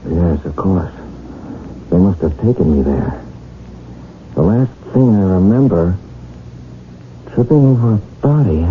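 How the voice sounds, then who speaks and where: An actor playing an agitated young man in a 1970s radio drama, halting and pleading as he speaks. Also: low voice, expressive, slight background noise. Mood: confused, insistent. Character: anxious, insistent young man, accident victim, recently blind. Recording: muffled, band-limited old radio broadcast.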